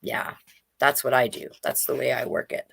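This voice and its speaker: A woman's voice: extremely low pitch, expressive, moderate pace, vocal fry, fairly bright tone, slightly rough. A woman hosting a storytime, reading aloud to children and chatting to listeners with questions and asides. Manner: conversational, addresses listeners with questions.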